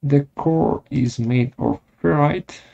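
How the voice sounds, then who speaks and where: A man presenting a step-by-step software tutorial in short, measured, halting phrases. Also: on the high side, vocal fry. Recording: dull lo-fi audio.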